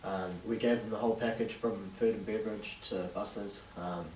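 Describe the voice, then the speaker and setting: A young man in his late teens with a New Zealand accent, answering interview questions haltingly with many fillers. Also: on the low side, steady tone, darkish tone, slight background noise. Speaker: interviewee, young DJ and event organiser. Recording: distant roomy camera sound with faint ambience.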